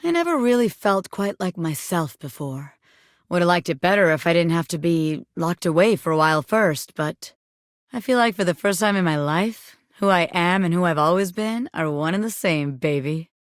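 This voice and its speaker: A woman's voice: fairly low pitch, lively intonation, moderate pace, no room sound, clean studio sound, lightly acted. A woman voicing a cocky, self-important bobby pin who fancies itself a crime boss in a video game, swaggering, slangy and boastful. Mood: boastful, scheming. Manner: swaggering, slangy, boastful, peppering talk with pet phrases. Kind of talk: video game character voice acting.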